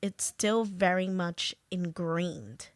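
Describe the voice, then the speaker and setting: A woman hosting a podcast, talking casually in a slow, halting way with lots of fillers. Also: fairly low pitch, dry recording, studio quality. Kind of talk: casual conversation.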